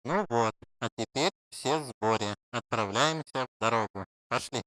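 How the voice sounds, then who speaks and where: A woman's voice: extremely low pitch, normal speed, a bit bright, no room sound. A woman voicing a chatty, encouraging mother pig in a children's cartoon story, with a motherly, exclaiming manner. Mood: eager.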